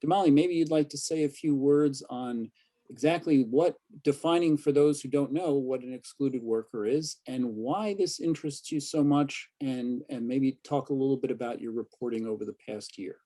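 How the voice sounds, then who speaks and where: A man moderating a video-call panel as a professor and newspaper editor, measured as he introduces guests. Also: mid-range voice, dark tone.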